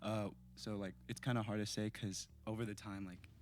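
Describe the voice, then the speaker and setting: A man's voice: lowish voice, normal speed. A young man from the East Bay in his teens, the band's singer and guitarist, chatting casually and rambling with lots of fillers. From East Bay, California. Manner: relaxed, rambling with many fillers. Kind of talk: casual interview chat.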